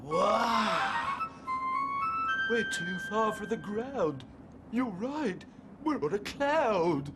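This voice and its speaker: Over loud music, a dopey male cartoon sidekick with an extremely high voice. He speaks slowly, in a bewildered, dim-witted way, as someone who is easily alarmed. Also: animated, full character voice. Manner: dim, wide-eyed, bewildered. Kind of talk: animated children's cartoon acting.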